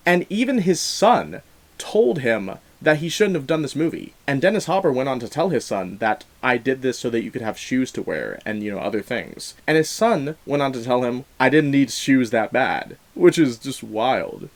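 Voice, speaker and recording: A man giving a casual, opinionated film review, leaning emphatically on key words in an earnest way. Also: medium pitch. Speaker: film review show host.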